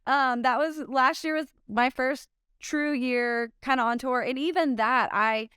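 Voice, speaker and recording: A woman in her thirties from Oklahoma, a pro disc golfer, answering interview questions in an upbeat, chatty way with frequent ums and quick laughs. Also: high voice, fairly bright tone, smooth, no room sound, studio quality.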